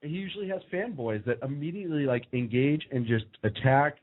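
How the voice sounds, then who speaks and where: A man's voice: medium pitch, a little fast. A man co-hosting a casual political talk show, joking and sarcastic as he tells stories, often breaking into laughs. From United States. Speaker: co-host. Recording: phone-like narrow sound.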